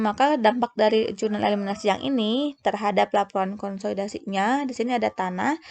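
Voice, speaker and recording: A woman tutor walks through an explanation in lecture style. Her medium-pitched voice has a marked creak. She speaks haltingly, often stopping to correct herself and restart a phrase. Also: a little mellow. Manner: halting, self-correcting delivery. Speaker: accounting tutor.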